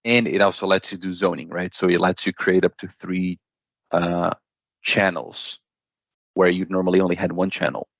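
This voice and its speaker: A man giving a conversational technical product explanation as an interview guest, often checking in with a quick "right?". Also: low voice, flat delivery, vocal fry, no room sound, dull audio. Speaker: product expert guest from a paging hardware company.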